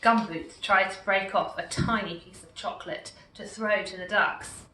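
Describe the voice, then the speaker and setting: A woman reading a picture book aloud in a slow, expressive storytelling manner. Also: mid-range voice, slight background noise. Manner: slow, expressive, warmly animated. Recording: echoey room, far from mic.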